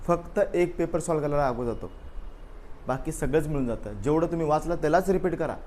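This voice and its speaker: A man's voice: a little high, quick pace, a little mellow. Man, exam coaching teacher, live class, repetitive fillers, coaxing students, faint room noise. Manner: repeats "बरोबर आहे" and "ओके" as fillers, prods students directly. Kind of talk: live class going over an exam paper.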